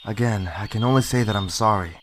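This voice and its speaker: A man's voice: lowish voice, brisk, natural voice. A man playing a sober, restrained police detective in an amateur radio drama, speaking matter-of-factly. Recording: clear background noise.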